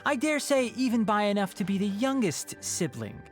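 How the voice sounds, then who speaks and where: A man voicing a sharp young male scholar in a video game, measured and dryly sarcastic. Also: sits high, sing-song. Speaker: scholar and guardian. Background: game music.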